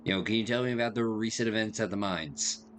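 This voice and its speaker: Joking male gamer with a lowish voice. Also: brisk, a bit bright, a little hiss or hum. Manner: joking, slangy. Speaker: gaming streamer. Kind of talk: casual playthrough commentary, hamming up dialogue choices.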